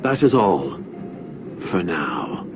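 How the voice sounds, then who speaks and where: A calculating secret agent villain in a video game. His voice is on the low side, formal and menacing, yet it swings expressively in pitch. Noisy ambience is clearly heard behind him. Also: very animated, very slow, full character voice. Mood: cold, cautioning.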